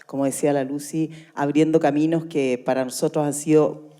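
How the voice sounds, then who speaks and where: An older Chilean woman with a voice a little on the low side. She talks in a colloquial, anecdotal way, as an actress invited to a conversation. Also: fairly even intonation, fairly quick, dark tone. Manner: colloquial, storytelling. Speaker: actress, guest.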